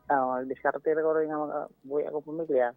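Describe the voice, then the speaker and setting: A man with a Filipino accent, a native Cebuano speaker, chatting casually and haltingly as a caller, searching for words. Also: on the high side, little pitch movement, no echo. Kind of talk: casual chat. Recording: dull lo-fi radio call audio. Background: faint background music.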